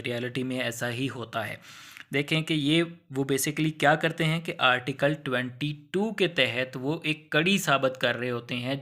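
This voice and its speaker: A man's voice: medium pitch, bright, forceful tone. A man with a Pakistani accent giving an informal explanatory law lecture, going step by step with examples and mixing in English legal terms.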